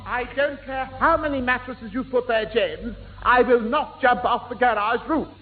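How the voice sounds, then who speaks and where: A man's voice: very high-pitched, normal speed, over loud music. A man playing a prim, fussy, easily offended character with a pompous, precise manner in a 1960s British radio sitcom. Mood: indignant. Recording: tinny, echoey old radio recording.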